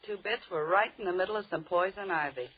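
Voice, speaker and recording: A woman's voice: fairly low pitch, quick pace, natural voice. An actress playing a grouchy, sarcastic young city woman in an old radio drama, with brassy, slangy delivery. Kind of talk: 1940s radio drama acting. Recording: muffled, noisy old radio recording.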